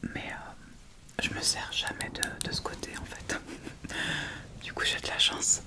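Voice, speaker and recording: Slow whispering woman in ASMR style. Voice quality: whispered, breathy. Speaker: ASMR video creator. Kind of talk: ASMR makeup tutorial, casual.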